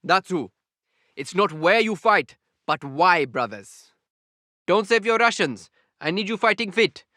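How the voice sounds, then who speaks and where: A man playing an earnest, steadfast soldier in a war game, measured and instructive with his brothers-in-arms. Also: high voice, animated, sharp tone, no echo, studio quality, full character voice. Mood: resolute. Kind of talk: video game unit voice lines.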